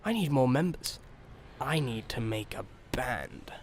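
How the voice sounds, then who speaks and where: A man's voice: medium pitch, expressive, a little slow. A man playing a mopey, anxious horse who dreams of music, rambling and sarcastic in an audio story. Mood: dejected, pondering. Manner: sighing, rambling self-talk, sarcastic. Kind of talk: cartoon-like audio story acting.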